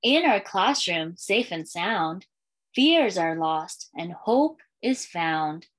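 Lively, unhurried woman reading to children. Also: fairly low pitch. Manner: clear sing-song storytelling for young children. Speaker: elementary school librarian reading aloud. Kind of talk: children's picture book read-aloud. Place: small indoor room with bookshelves and curtain.

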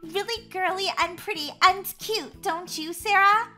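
A woman's voice: very high-pitched, unhurried, bright, forceful tone, soft background music, full character voice. A woman voicing a perky, girly teenage cheerleader who gushes in a cartoon skit. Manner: gushing, bubbly.

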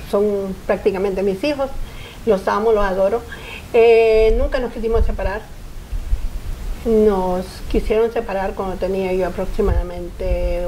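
A woman's voice: medium pitch, warm tone, slight background noise, low-quality recording. An older woman from Honduras, a guest in a TV studio interview, speaking earnestly in short reflective phrases. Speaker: interview guest, foundation founder. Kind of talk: personal interview. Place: TV studio set.

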